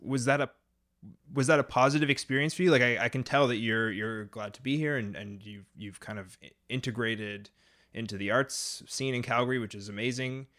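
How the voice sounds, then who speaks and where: A man's voice: mid-range voice, brisk, a bit bright. A man interviewing a guest, asking curious, hedging questions and often repeating words as he forms them.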